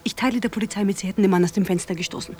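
An actress plays a cynical young woman, a brazen schemer in a radio drama. Her pitch sits in the middle and she teases, then slips into whispers. Faint ambience lies behind her. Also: racing, mellow, slight background noise, natural voice. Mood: urgent, scheming. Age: young adult. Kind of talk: German radio play acting.

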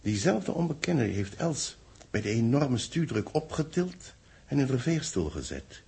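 A man acting a worried official in a 1960s Dutch radio play, speaking in a measured, formal way with a gravelly, husky voice. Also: mid-range voice, very animated, a little mellow, heavily acted. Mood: grave, pondering. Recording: muffled-edged vintage 1960s radio recording.

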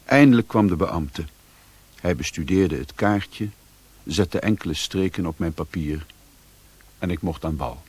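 An actor narrating a radio drama in the first person as a brooding, world-weary adventurer, gravelly and husky. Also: male voice, low-pitched, animated, measured pace, a little mellow, slight background noise. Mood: brooding, reflective. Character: brooding, world-weary male adventurer recounting his story.

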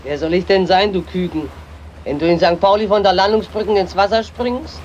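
A woman's voice: mid-range voice, steady tone, normal speed, a little mellow, muffled recording, slight background noise, natural voice. A woman playing the river Elbe as a blunt, mocking old figure in a radio play.

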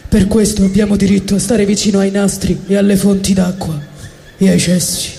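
A man's voice: high-pitched, little pitch movement, fairly quick, gravelly, dark tone, soft background music. A man voicing a bossy, territorial old passenger in a comic stage reading. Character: old, territorial, stranded baggage-claim veteran.

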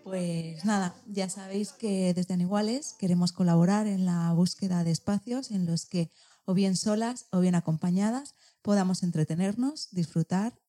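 Mellow, low-voiced Spanish woman presenter. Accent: Spain. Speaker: programme host. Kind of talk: scripted radio show presentation.

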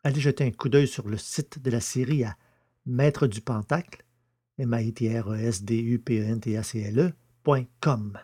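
Male podcast host for scripted credits and announcements, medium pitch, soft-edged mellow tone. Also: moderate pace, husky.

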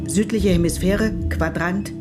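A woman's voice: fairly low pitch, measured pace, natural voice. A woman playing a demanding, fretful mother in a radio play, speaking precisely and insistently. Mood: insistent, indignant. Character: demanding, fretful mother in a machine-run future society. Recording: loud music behind the voice.

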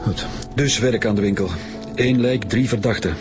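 An actor with a Belgian Dutch accent playing an authoritative police director in a radio drama, methodically summing up and giving orders. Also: male voice, lowish voice, moderate pace, over music, natural voice.

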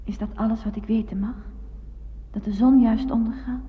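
A high, hushed female voice in an old, dull-sounding radio play. An actress plays a lonely woman who speaks softly and intimately, searching with breathy questions. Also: steady tone, normal speed, natural voice. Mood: wistful, probing. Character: lonely woman in imagined dialogue, soft, searching.

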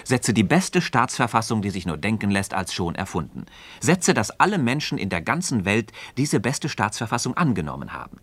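Thoughtful philosopher guy, lowish voice that moves a lot, measured probing radio drama talk, dry sound. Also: very animated, fairly bright tone, no echo, exaggerated voice. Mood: reasoning, insistent. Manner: measured, deliberate, didactic questioning with clear declamation. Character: thoughtful, probing male philosopher leading a friend through argument. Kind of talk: German radio play dialogue acting.

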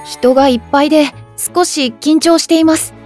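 A performer playing a shy, soft-mannered young man in an anime audio drama, polite and reserved. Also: sits high, steady tone, moderate pace, faint music behind, lightly acted. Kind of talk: Japanese anime drama CD acting.

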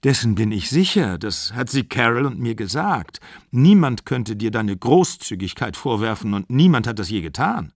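A man voicing a stern older father who runs a small theater, speaking calmly and curtly. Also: medium pitch, moderate pace, few pauses, dry recording, studio quality. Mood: firm. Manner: calm, firm, curt.

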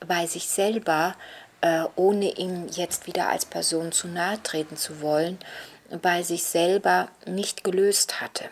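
Breathy low-ish woman, talks real slow and hesitant, lots of uhs, thinking out loud. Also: slight background noise. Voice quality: breathy, half-whispered. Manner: slow and hesitant, pausing with fillers. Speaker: expert interviewee. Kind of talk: thoughtful spoken commentary.

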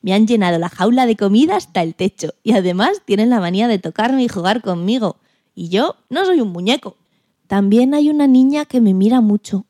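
Woman voicing a mellow, expressive little guinea pig. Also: medium pitch, brisk, dry recording, clean studio sound, close to an everyday voice. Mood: grumbling. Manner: expressive, exclamatory, plaintive. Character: indignant, timid male guinea pig telling his story. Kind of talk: children's radio drama storytelling.

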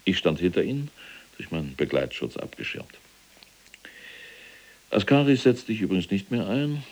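Jailed SS general for radio drama: extremely low, creaky voice, cold, boastful, clipped military reporting. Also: male voice, big pitch swings, moderate pace, a little mellow, full character voice. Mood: cold, matter-of-fact. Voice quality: gravelly. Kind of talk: radio drama monologue, recounting events.

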